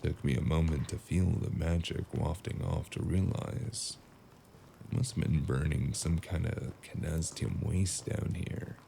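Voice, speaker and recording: Man acting a very deep, gravelly noir stranger. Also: reverberant, a little hiss or hum, full character voice. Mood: brooding narration. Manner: deadpan, dry, unhurried. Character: weary, wry noir stranger narrating his investigation. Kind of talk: cyberpunk noir narration and dialogue.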